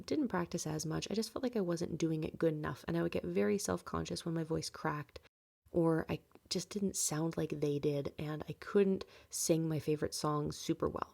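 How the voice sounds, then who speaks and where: A woman's voice: fairly low pitch, gravelly, darkish tone. A woman in her late twenties hosting a podcast from home, talking candidly about her life with many fillers and light laughs. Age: late 20s. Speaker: podcast host. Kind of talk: personal reflective monologue.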